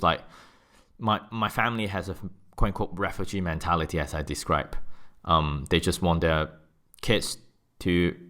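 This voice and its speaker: Deep-voiced Hong Kong man, thoughtful. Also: a bit bright. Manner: thoughtful, frequent restarts and fillers. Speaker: guest. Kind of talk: interview answers. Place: office.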